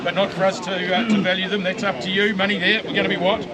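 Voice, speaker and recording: High-voiced New Zealand auctioneer chanting bids. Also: male voice, little pitch movement. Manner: rapid repetitive chanting of bids, coaxing the buyers. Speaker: livestock auctioneer. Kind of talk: auction bid calling. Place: outdoor livestock saleyard. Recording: loud crowd and livestock noise at an outdoor sale.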